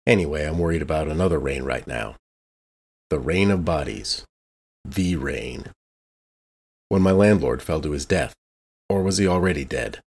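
An extremely deep, husky male voice as a man acts out a haunted, suspicious recluse. He narrates his private thoughts like a noir drama, brooding in short, clipped phrases. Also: exaggerated voice. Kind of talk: first-person noir monologue, scripted.

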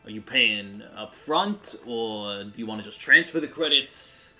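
A man's voice: lowish voice, lively intonation, unhurried, sharp tone, soft background music, exaggerated voice, low-quality recording. A man voicing a self-important, slimy starport official with a mock Italian accent and an unctuous, hesitant manner. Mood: smarmy.

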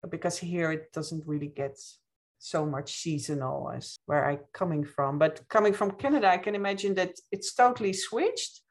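Low-pitched Dutch-accented woman explaining. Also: moderate pace. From Netherlands. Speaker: podcast guest, witchcraft author. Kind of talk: reflective conversational interview.

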